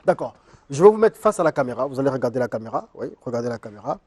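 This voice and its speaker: A man's voice: medium pitch, sing-song, very fast, a little mellow, slightly rough. A man hosting a studio interview, reading out viewer questions and directing his guest. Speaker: interviewer host.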